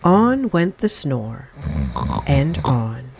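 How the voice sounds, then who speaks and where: A woman reading a children's story aloud in expressive, dramatic narration with deliberate phrasing. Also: low-pitched, noisy background.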